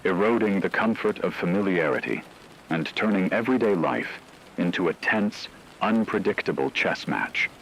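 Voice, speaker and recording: Male narrator for apocalyptic drama: deep register, grave measured read, light noise bed behind. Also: animated, gravelly, fairly bright tone, low-quality recording.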